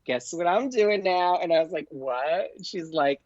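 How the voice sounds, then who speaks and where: A man telling a casual anecdote as a podcast guest, gushing and excitable, saying "like" often and acting out both sides of conversations. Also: fairly high pitch, unhurried, dry recording, muffled recording. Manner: gushing, excitable, frequent "like", acts out dialogue. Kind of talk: casual anecdote, chatty storytelling.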